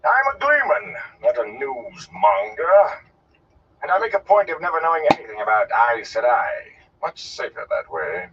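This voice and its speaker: A man voicing a flamboyant, haughty gleeman in an audiobook, with a theatrical, drawn-out and declamatory delivery. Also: sing-song, recorded from a distance, lo-fi sound, background noise, close to an everyday voice. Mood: grumbling. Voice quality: deep, resonant. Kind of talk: audiobook character voice.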